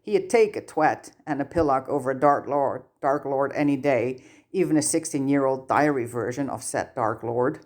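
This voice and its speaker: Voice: mid-range voice, normal speed, darkish tone. A narrator reading fan fiction aloud as scripted audiobook-style narration. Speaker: podfic narrator.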